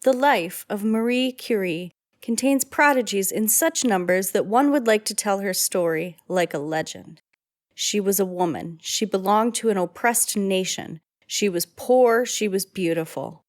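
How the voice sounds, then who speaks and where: A woman giving solemn, measured scripted narration of a biography for radio drama. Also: mid-range voice, no room sound, clean studio sound.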